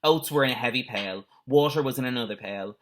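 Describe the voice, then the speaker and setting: A man's voice: medium pitch, brisk. A man reading a children's adventure story aloud like a teacher, expressively, with the odd explanatory aside. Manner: animated character voices with explanatory asides.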